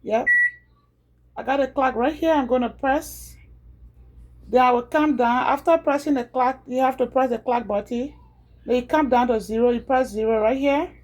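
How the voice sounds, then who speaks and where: A woman's voice: high-pitched, darkish tone, poor audio. A woman from Liberia with a Liberian accent, chatting casually as she repeats each step of a home tutorial. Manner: chatty, repeats steps slowly. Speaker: home video creator.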